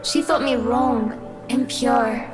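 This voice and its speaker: A woman's voice: fairly high pitch, fairly even intonation, slow pace. A woman playing a cruel, mocking two-headed apparition of young women in a horror visual novel, with a taunting, theatrical delivery. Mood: livid, accusing. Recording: loud music behind the voice. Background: loud game music.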